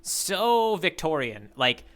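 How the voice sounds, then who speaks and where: A man's voice: fairly high pitch, sing-song, slow pace, a bit bright. A man co-hosting a podcast, talking in a casual, rambling way with lots of fillers and restarts. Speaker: podcast co-host. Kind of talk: casual chat.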